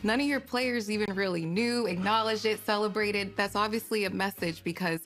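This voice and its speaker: A woman's voice: medium pitch, moderate pace, a bit bright. A woman reporter interviewing a head coach with probing questions. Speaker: sideline reporter. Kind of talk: sit-down interview.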